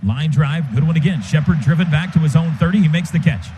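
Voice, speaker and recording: An American man giving live radio play-by-play of a football game in clipped phrases with excited calls. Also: a little high, fast, soft background music.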